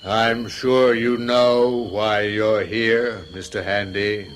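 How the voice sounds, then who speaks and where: An actor playing a stern, formal, quietly menacing middle-aged official in a radio drama, with a deliberate delivery. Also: male voice, lowish voice, flat delivery, a little hiss or hum. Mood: coldly stern.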